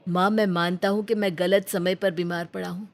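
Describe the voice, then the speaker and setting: A woman's voice: lowish voice, fairly even intonation, moderate pace, close to an everyday voice. A woman puts on a young adult son in a cartoon family tale. The delivery is earnest and persuasive, that of a devoted child talking to a parent. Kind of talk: dramatized cartoon storybook dialogue.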